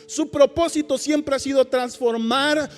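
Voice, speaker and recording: Male pastor, high-pitched, fervent and emphatic in sermon and prayer. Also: fairly even intonation, unhurried, long reverb tail, faint music behind. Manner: fervent, emphatic preaching with repeated calls of "Señor". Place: church service.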